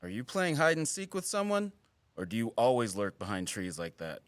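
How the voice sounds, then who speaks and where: An actor playing a wry, guarded wildlife biologist in an audio drama, with teasing, dry sarcasm and an earnest streak. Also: male voice, medium pitch, wildly swooping pitch, brisk, no echo. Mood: wry, defensive. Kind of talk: naturalistic audio drama acting.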